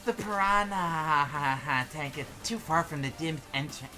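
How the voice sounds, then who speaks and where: A woman's voice: sits low, measured pace, sharp tone, faint music behind. A woman voicing an insistent student debating in an anime game fan dub.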